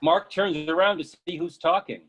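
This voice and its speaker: A man's voice: fairly high pitch, fairly even intonation, moderate pace, a bit bright, slightly rough, muffled recording. A man reading stage directions as scripted narration.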